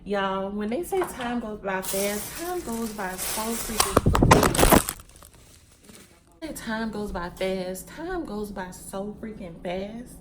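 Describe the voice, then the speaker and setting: A woman's voice: medium pitch, animated, slow, very noisy. Chatty young woman talking to viewers. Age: 20s. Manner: chatty, repeats phrases, addresses viewers as y'all and sis; packing wigs while talking. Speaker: vlogger. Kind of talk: casual chat to viewers.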